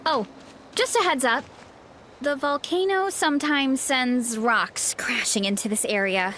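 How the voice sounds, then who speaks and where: A woman's voice: high voice, measured pace, pushed and bright, slight background noise. A woman playing a cheerful, modest young athletic girl in an anime game, bubbly and slightly hesitant. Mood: friendly, casual. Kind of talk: anime video game voice acting.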